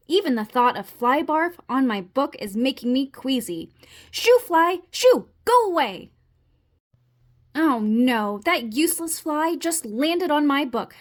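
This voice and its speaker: A woman voicing a fussy, easily panicked neat-freak male frog in a theatrical, indignant manner for a children's storybook. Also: high voice. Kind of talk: children's storybook read-aloud.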